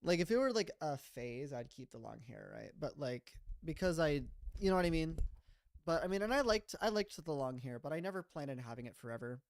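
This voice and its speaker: A man's voice: fairly high pitch, animated, fairly quick, creaky, no room sound. A man in his mid-twenties, a streamer chatting casually and rambling with frequent laughs while reading his chat. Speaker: streamer and animator.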